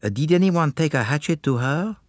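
A man's voice: a little high, lively intonation, fairly quick, dry recording, clean studio sound, pitched above the performer's own voice. A man voicing a polite, shrewd detective in a story, speaking in a grave, wry, understated way. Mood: dry.

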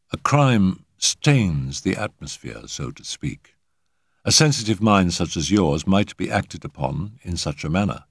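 A man voicing a calm, perceptive gentleman in a storybook reading, speaking quietly and deliberately. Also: low voice, gravelly, breathy edge, no echo, clean studio sound, heavily acted.

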